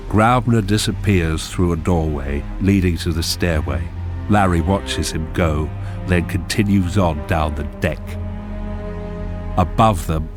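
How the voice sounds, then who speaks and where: Male narrator, radio drama, low voice, slow measured delivery, music underneath.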